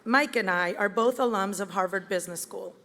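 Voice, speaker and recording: A woman, giving a prepared campaign speech in an earnest, measured way. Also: mid-range voice, a bit bright, slight background noise. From Venezuela. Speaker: campaign event speaker. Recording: reverberant hall with crowd.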